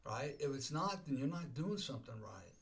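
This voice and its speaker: A man's voice: mid-range voice, big pitch swings, moderate pace, a bit bright. A man in his sixties talks through goal-setting as a podcast host. His talk is casual and full of restarts and repeated words, and he keeps checking in with "okay?". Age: 60s. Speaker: life coach and podcast host.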